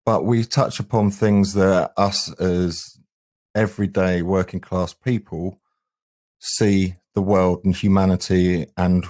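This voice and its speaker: A middle-aged man speaks in a very low voice. He is earnest and thoughtful, like a musician reflecting in an interview. The sound is dry, with little room around it. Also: steady tone, takes it slowly, a little mellow, no room sound. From England. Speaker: band singer and guitarist, interview guest.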